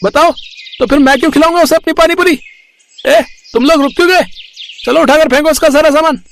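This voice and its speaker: A man voicing a boastful, scheming male panipuri seller in an animated village story, pushy and loud. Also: very high voice, a little fast, vocal fry, exaggerated voice. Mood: mocking. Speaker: cartoon voice actor.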